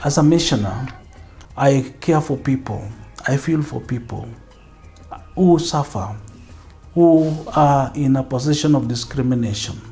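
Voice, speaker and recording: Calm, sincere man from Kenya, mellow tone, documentary interview with faint music. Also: medium pitch. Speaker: missionary aviation professional.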